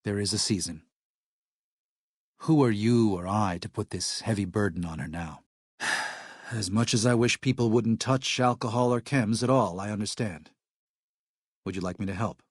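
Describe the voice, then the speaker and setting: A man's voice: on the low side, dry recording, studio quality, natural voice. Earnest, weary missionary medic played by a man. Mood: troubled, resigned, then calm, helpful. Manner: measured, serious, moralizing, plain-spoken. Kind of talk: video game character dialogue.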